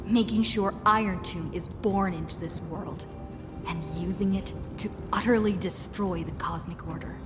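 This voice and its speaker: A woman's voice: mid-range voice, fairly even intonation, lightly acted. A woman playing an earnest, resolute young heroine in a video game, explaining solemnly and with care. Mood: solemn, urgent. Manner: measured, solemn, careful explaining. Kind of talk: dramatic video game voice acting. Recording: long reverberant hall. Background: clear music.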